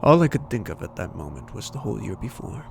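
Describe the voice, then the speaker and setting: A man narrating as an inventor looking back, speaking quietly and reflectively in a fan audio drama. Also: on the low side, big pitch swings, fast talker, gravelly, a little hiss or hum.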